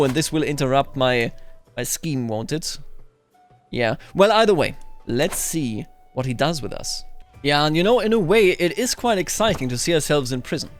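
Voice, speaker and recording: Male gaming host, slightly high voice, theatrical musing roleplay commentary over soft background music. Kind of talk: roleplay strategy game commentary. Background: game music.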